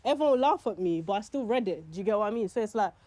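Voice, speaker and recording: A woman's voice: medium pitch, very fast, no echo. A young woman from Nigeria, talking in a documentary interview, telling stories casually and acting out what people said. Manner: animated, acts out quoted speech. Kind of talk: casual storytelling to camera.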